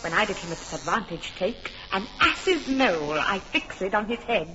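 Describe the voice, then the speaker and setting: A woman's voice: mid-range voice, big pitch swings, moderate pace, highs cut off, heavy background noise, lightly acted. An actress voicing a mischievous fairy sprite in a classic radio drama, gleeful and teasing in her delivery. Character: mischievous impish fairy sprite, playful and quick.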